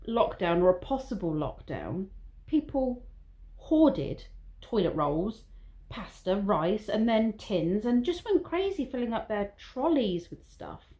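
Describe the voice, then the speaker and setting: A woman chats to her viewers in an easygoing vlog, drifting from one thought to the next. Her voice is mellow and warm. She talks in a loose, rambling way and admits when she is waffling. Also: on the high side. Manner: rambling, chatty, self-aware waffling. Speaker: vlogger. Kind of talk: casual vlog monologue.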